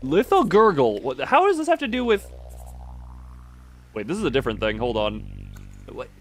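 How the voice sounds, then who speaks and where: A man giving casual live commentary on a game as he streams, thinking out loud and often breaking off mid-sentence. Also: fairly high pitch, wildly swooping pitch, normal speed, slight background noise.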